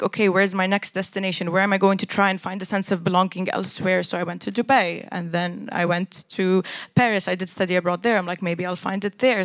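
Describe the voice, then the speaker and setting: A woman's voice: fairly low pitch, no room sound. A young adult woman from Jordan speaking as a panel guest in an earnest, candid, storytelling way. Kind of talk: personal reflective discussion.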